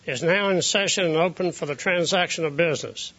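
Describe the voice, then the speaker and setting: A man's voice: on the high side, a little fast, bright, forceful tone. A man speaking as a court official in a formal ceremonial proclamation. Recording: dull audio with clear background noise.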